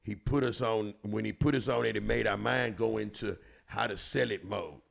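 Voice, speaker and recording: A man's voice: on the low side, normal speed, gravelly. A man with a Houston, Texas accent, telling street stories casually in slangy, profane language with emphatic repetition. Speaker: storyteller and show regular.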